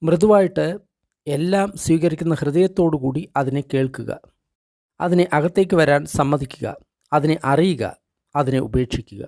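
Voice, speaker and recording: Male meditation guide, slightly high voice, gentle deliberate phrasing, dry close recording. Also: no room sound. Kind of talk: guided meditation narration, translated text read aloud.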